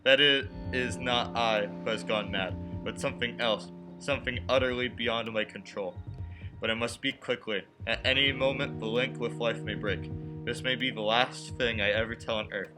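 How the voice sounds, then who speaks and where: A man acting a haunted thirty-six-year-old motorist in a radio drama, set over prominent music. He has a medium-pitched voice and tells his story earnestly and deliberately, anxious yet insisting that he is sane. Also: steady tone, close to an everyday voice. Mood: tense, confessional. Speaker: lead actor and narrator. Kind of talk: radio play acting, first-person dramatic narration. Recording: music behind the voice.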